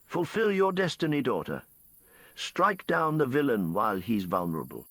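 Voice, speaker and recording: A man's voice: mid-range voice, sing-song, normal speed, a bit bright, no room sound, pitched above the performer's own voice. A man voicing an imperious, scheming woman who leads a cult, with a cold, commanding, theatrical manner in a fantasy bedtime story.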